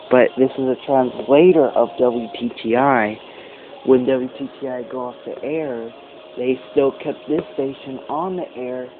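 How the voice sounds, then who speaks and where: A man talks slowly through a casual gadget review on dull, lo-fi camera audio. His delivery is halting, in short phrases with repeats and self-corrections, at an average pitch, with faint noise behind him. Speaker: amateur radio reviewer. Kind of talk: casual product review talk.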